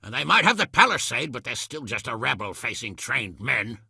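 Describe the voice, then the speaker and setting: A hot-tempered medieval lord and commander from a video game. His voice is very forceful and hard-edged, gravelly and husky, with blunt, blustering delivery. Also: mid-range voice, sing-song, normal speed, no echo, studio quality, exaggerated voice. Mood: resolute. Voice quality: raspy, gravelly. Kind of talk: dramatic video game voice acting.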